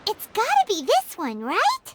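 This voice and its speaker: A woman voicing a tiny, perky, excitable fairy companion in a video game, with a squeaky childlike voice. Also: unhurried, very noisy, full character voice. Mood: curious, eager. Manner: refers to self in third person, exclamatory. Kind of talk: video game character acting.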